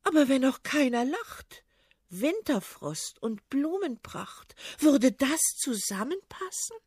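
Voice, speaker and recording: A woman's voice: sits high, sing-song, slow, a little mellow, no room sound, clean studio sound, pitched above the performer's own voice, full character voice. A woman voicing a young, haughty sea princess with a mocking, commanding manner. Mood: scornful.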